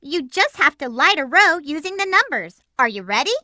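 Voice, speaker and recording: A peppy, assertive hedgehog girl from a video game. The voice is high and swings with great animation. It sounds girlish and bold. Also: sing-song, moderate pace, sharp tone, no room sound, studio quality, exaggerated voice. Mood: confident, instructive. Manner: perky, assertive. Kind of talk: video game character lines.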